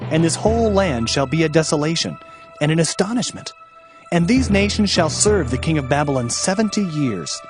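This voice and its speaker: A man's voice: fairly high pitch, natural voice. An actor playing an impassioned male prophet warning his people, emphatic and solemn in a dramatized scripture reading.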